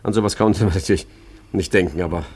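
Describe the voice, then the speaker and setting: A slightly low-pitched male swindler, flustered and glib. He stammers and hedges with false politeness, in the manner of 1950s radio drama. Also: steady tone, fast, a little mellow, breathy edge. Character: flustered, wily male art forger, evasive and glib. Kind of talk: 1950s German radio play acting.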